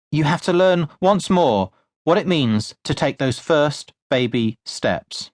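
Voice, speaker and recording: A man reading his own nonfiction audiobook in a scripted, measured way with deliberate, emphatic stresses, recorded in a studio. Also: mid-range voice, no room sound. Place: close, dry studio recording.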